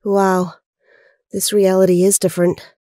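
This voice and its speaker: A woman acting in an audio drama as a gentle, concerned young superhero. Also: medium pitch, little pitch movement, a little slow, no room sound, clean studio sound, close to an everyday voice. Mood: surprised, concerned. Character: Kat, young female Power Ranger, gentle, concerned.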